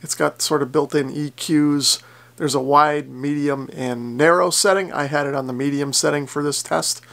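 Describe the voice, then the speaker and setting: A man's voice: fairly high pitch, fairly even intonation, moderate pace, creaky, a bit bright. An American man in his forties explaining things casually to camera, in an unpolished, self-deprecating hobbyist way. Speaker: ham radio vlogger. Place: basement radio workshop indoors.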